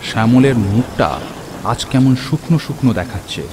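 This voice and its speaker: A man playing an earnest, excitable friend who tells a cartoon horror story in the first person, in an expressive, theatrical way. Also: medium pitch, moderate pace, noisy background, close to an everyday voice. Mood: atmospheric, pensive. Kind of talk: dramatic scripted first-person horror narration. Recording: clear rain and thunder over voice. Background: rain and thunder effects.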